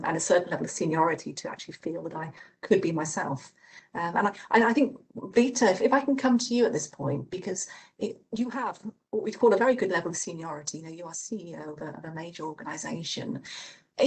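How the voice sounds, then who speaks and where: Fluent woman moderating a panel, lowish voice. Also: low-quality recording. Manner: measured, with small restarts.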